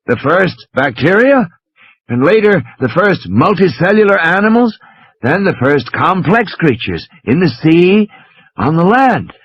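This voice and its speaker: A man's voice: on the high side, sing-song, measured pace, breathy edge, no room sound, dull audio, exaggerated voice. A man playing an exasperated, scornful intellectual who lectures with emphatic passion in a dramatised audiobook. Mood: grand, intense. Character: impassioned, scornful male intellectual lecturing from his sickbed. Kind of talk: dramatised audiobook character reading.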